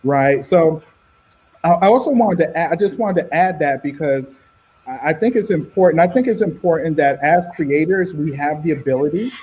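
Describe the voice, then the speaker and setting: A comics creator on a panel who talks in a relaxed way, laughs often and keeps checking in with "right?". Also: male voice, a little high, normal speed, slightly rough, poor audio. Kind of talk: casual panel discussion.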